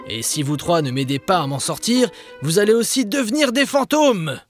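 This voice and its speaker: A man's voice: high voice, expressive, normal speed, faint music behind. A man voicing an earnest, commanding adult ghost ship captain in a cartoon dub. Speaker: dubbing actor.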